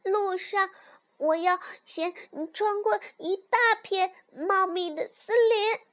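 A woman's voice: fairly even intonation, slow pace. A woman voicing a bold, boastful little fox child in a storybook, with an eager, emphatic childlike delivery. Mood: eager, defiant. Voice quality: high, childlike.

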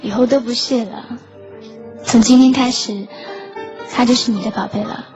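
A woman's voice: a little high, fairly even intonation, normal speed, close to an everyday voice. A woman playing a wistful teenage schoolgirl. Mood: gentle. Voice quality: soft, wistful. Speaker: lead and narrator. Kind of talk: audio drama acting and narration. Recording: dull lo-fi sound with loud music.

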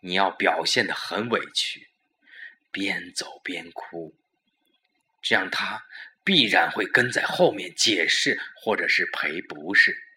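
A man playing a smug, scheming murder plotter in a suspense audio drama, coaxing his lover with emphatic, deliberate instructions. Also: low voice, sharp tone, heavily acted. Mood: instructing.

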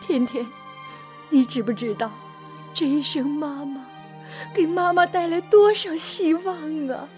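A woman's voice: high voice, measured pace, darkish tone, faint music behind. An actress playing a devoted, long-suffering middle-aged mother in a radio drama, with a tender, emotive, pleading delivery. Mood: overjoyed, moved.

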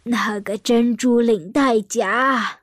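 Guarded lady, high measured voice. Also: fairly even intonation. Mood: soft, composed. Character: composed, guarded married lady hiding inner anxiety. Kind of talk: Mandarin audio drama dialogue and inner monologue.

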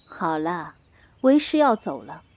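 An actress playing a composed, stern female martial arts master in a Chinese wuxia audio drama, commanding and measured. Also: on the low side, big pitch swings, darkish tone, no room sound.

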